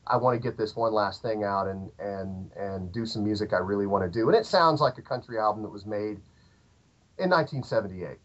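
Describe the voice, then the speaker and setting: Rambling southern Illinois musician, muffled. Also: male voice, fairly low pitch, a little fast. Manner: rambling, lots of fillers and restarts. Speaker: podcast guest, musician. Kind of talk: casual interview chat. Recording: dull lo-fi podcast audio.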